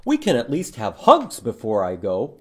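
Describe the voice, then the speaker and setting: A man voicing an excitable, stubborn storybook groundhog with loud, emphatic delivery. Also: mid-range voice, moderate pace, slightly rough, full character voice. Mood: stubborn, affectionate. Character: excitable stubborn male groundhog in a picture book.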